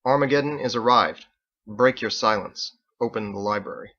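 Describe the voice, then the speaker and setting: A man voicing a courteous, resolute paladin leader with formal, measured phrasing, in an illustrated story reading. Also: medium pitch, a bit bright, highs cut off, natural voice.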